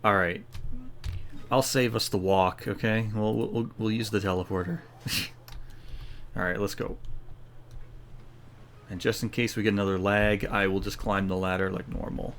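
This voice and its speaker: A man with a low voice comments casually as he plays a game. He is low-key and sometimes repeats words or reads along. There is faint noise behind him. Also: fairly even intonation, moderate pace, pauses often, a bit bright. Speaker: gameplay streamer.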